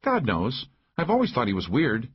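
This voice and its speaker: A man's voice: medium pitch, wildly swooping pitch, normal speed, husky, highs cut off, pitched above the performer's own voice. A man voicing a hot-tempered, foul-mouthed, combative female sheriff in an audiobook. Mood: exasperated. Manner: combative, blunt, cursing. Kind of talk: audiobook character voice.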